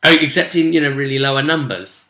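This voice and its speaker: A man casually rambling through a recap of a tabletop role-play session as its game master, jokey and animated, often interrupting himself. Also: mid-range voice, fast talker. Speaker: game master and vidcast host. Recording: echoey, roomy sound.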